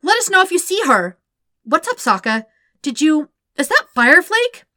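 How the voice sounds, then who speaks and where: A woman voicing a cheerful, excitable twelve-year-old airbender boy in an audiobook story. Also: sits high, moderate pace, no echo, studio quality, pitched above the performer's own voice, full character voice. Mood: breezy, curious. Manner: excitable, eager, questioning.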